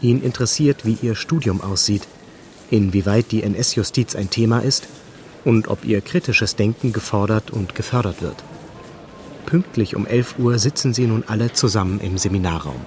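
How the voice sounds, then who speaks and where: A man reading calm, factual documentary narration. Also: lowish voice, moderate pace, a little hiss or hum.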